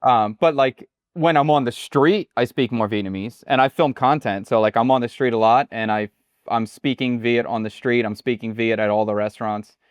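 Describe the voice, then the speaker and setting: American guy talking about his life abroad, smooth clear voice, dry close sound, kinda halting. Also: medium pitch, no echo. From United States. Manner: relaxed, halting, repeats words. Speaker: chef and guest. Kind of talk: casual interview chat.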